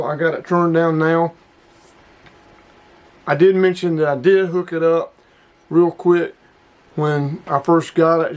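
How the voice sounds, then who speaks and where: A middle-aged man talks in a casual vlog style as a hobbyist showing off gear. His voice sits a little higher than most men's. He comes across as folksy and plain-spoken. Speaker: hobbyist video host. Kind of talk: casual product review vlog.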